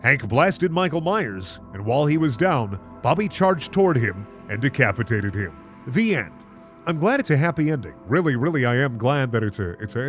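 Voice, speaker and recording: A man hosting a comedic reading of bad fan fiction, narrating with incredulous, sardonic asides. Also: mid-range voice. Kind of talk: comedic narration with sarcastic commentary.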